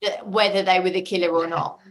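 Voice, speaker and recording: A woman with a slightly low voice speaks in a casual interview style. She tells her stories effusively, doubling back on phrases to stress them. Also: fairly even intonation, a little fast. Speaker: audio drama creator and casting lead, interview guest. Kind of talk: casual conversational storytelling in an interview.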